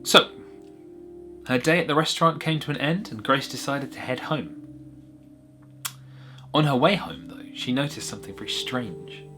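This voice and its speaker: A man's voice: medium pitch, normal speed, pauses often, bright, forceful tone, faint music behind. A man narrating a whimsical short story in a wry, conversational way with little asides.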